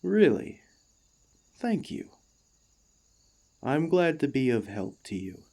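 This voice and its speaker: A man playing an earnest, devoted warforged ex-gladiator in an ASMR roleplay, speaking to the listener with formal, courteous, gently hesitant phrasing. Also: mid-range voice, sing-song, slow, creaky, exaggerated voice. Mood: warm, tentative.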